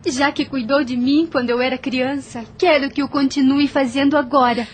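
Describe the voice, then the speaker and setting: Woman acting as young plantation mistress, high-pitched, earnest, formal, Brazilian accent, audio drama. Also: steady tone, normal speed, a little hiss or hum, close to an everyday voice. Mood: kind, resolute. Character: kind, resolute young plantation lady, easily indignant.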